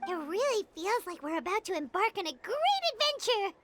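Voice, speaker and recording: A woman voicing a tiny, excitable, childlike fairy companion in a video game, with a squeaky voice. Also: normal speed, slight background noise, exaggerated voice. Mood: eager, curious. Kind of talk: animated video game dialogue.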